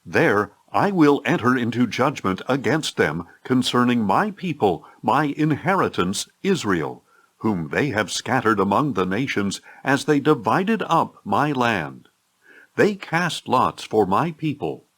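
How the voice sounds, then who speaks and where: Male narrator for audio Bible, mid-pitched, unhurried, solemn scripted reading with animated intonation.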